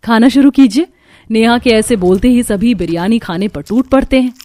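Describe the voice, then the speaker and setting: A woman's voice: a little high, moderate pace, mellow. A woman reading scripted storytelling narration for a cartoon.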